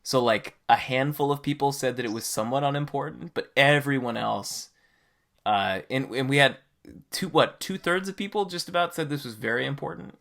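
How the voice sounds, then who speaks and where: A man's voice: medium pitch, normal speed, gravelly, a bit bright. A man co-hosting a podcast, chatting casually and earnestly about a game. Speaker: podcast co-host.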